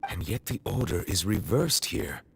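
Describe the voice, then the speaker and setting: A man playing a grave, courteous magistrate in a video game, speaking in a formal, measured, reserved manner. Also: deep voice, big pitch swings, creaky, slightly rough, no room sound, exaggerated voice. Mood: pensive.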